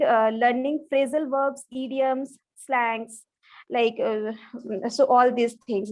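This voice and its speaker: High-pitched lady on a video call, bangla speaker learning english, lots of ums and restarts. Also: low-quality recording. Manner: hesitant, many restarts and fillers. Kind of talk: online English practice conversation.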